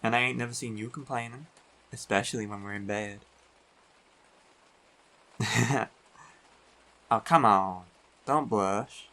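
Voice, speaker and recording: A man playing a big, teasing, affectionate biker werewolf boyfriend in an intimate roleplay audio, talking in an unhurried, colloquial way. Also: lowish voice, pushed and bright, heavily acted. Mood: playful teasing.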